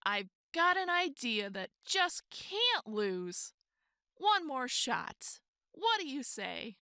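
A high, bright-voiced woman storyteller reading to children. She takes her time, stretching key words and exclaiming with big expression. The sound is close and dry. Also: dry recording. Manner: expressive, exclaiming, drawn-out emphasis. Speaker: storyteller reading a children's picture book aloud. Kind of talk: animated children's read-aloud.

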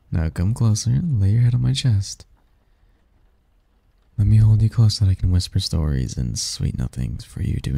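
A man's voice: deep voice, vocal fry, warm tone, no echo, full character voice. A man voicing a doting, possessive sleep demon lover in an intimate romantic ASMR roleplay, teasing and tender in courtly phrasing.

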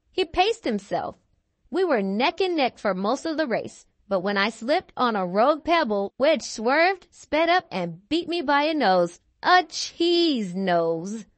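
A woman plays a bragging cheese wheel from a storybook, high and swooping in pitch. The delivery is theatrical and melodramatic, with drawn-out emphatic words, on a dry, close recording. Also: fairly bright tone, no echo, full character voice. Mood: indignant. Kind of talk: expressive children's picture book read-aloud.

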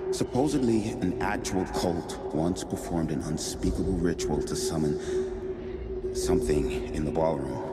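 Deep-voiced uneasy teller of backstage rumours. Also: male voice. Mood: uneasy, eerie. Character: uneasy male theatre man recounting eerie backstage rumours.

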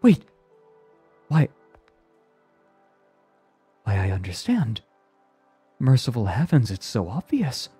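A man's voice: mid-range voice, wildly swooping pitch, slow, long pauses, dark tone, slightly rough, no room sound, soft background music, voice pushed up, full character voice. A man voicing a tense, bitter woman psychologist in an audiobook, with brittle intensity. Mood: hysterical, bitter. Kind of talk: audiobook character voice.

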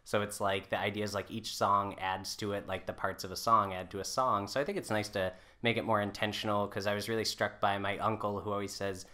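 A man in his twenties with a slightly low, bright and forceful voice. He talks casually as an interview guest, chatty and jokey, often slipping into quoted dialogue as he tells a story. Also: level tone, a little fast, hardly any pauses. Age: 20s. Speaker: podcast guest, folk musician.

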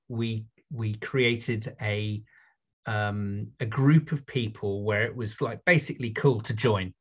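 A man's voice: mid-range voice, fairly bright tone. A middle-aged man speaking as a panelist on a video call through a headset, casual, with slang and fillers. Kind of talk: online panel discussion.

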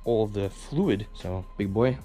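A man's voice: lowish voice, slow, dark tone. A man in his twenties vlogging about car repairs in a casual, slangy, sweary way, recorded on a handheld camera. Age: 20s. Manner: sweary, slangy, laid-back banter. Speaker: host of a car DIY vlog. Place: driveway and home or workshop garage. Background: music.

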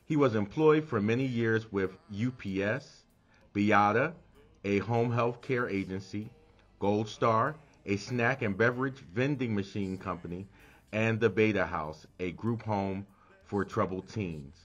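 Low-voiced man reading a memorial biography. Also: a little slow, highs cut off.

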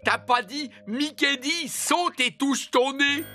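A man's voice: very high voice, slow pace, pushed and bright, soft background music, heavily acted. A man voicing a good-natured, clumsy male cartoon dog in French, bumbling and eager. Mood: goofy, eager. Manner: bumbling, hesitant, interjection-filled. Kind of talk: children's cartoon acting in French.

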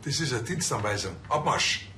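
A man's voice: mid-range voice, lively intonation, normal speed, bright, forceful tone, slight background noise. An actor playing a petulant, bossy policeman with a Bavarian accent in a TV comedy, grumbling as he talks. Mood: bossy, scheming.

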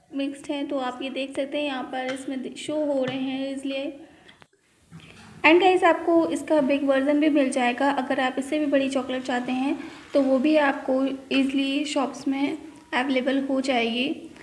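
A woman hosting a casual food review vlog, chatting to viewers in a mix of Hindi and English phrases while she handles the product. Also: high-pitched. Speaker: food channel host reviewing chocolate. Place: indoors at a table. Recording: echoey room with faint noise.

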